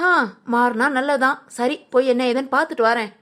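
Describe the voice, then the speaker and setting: Woman playing a high-voiced, brisk grandmother. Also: fairly even intonation, a bit bright. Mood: skeptical, homely. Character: caring, slightly skeptical grandmother and family elder aunt. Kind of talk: family audio drama acting.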